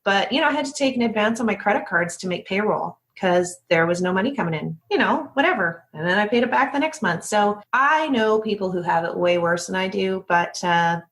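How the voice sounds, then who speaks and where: A woman's voice: medium pitch, animated, brisk, few pauses, a bit bright. Candid woman in casual interview conversation. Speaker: interview guest, speaker agency founder.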